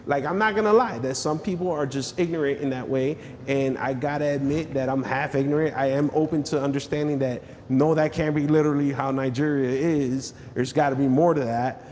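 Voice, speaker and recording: A man's voice: mid-range voice, fairly even intonation, brisk, few pauses, darkish tone. A man hosting a podcast, talking casually with a guest, laughing a lot and often restarting his sentences. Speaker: podcast host. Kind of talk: casual interview chat. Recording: long reverb, faint ambient noise.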